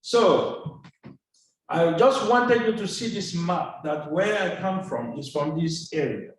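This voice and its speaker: Male seminar speaker from Cameroon, slightly high voice, measured formal delivery, picked up from afar in a roomy hall. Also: slight background noise. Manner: slow, deliberate, formal phrasing. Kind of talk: lecture presentation. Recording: echoey hall from a distance.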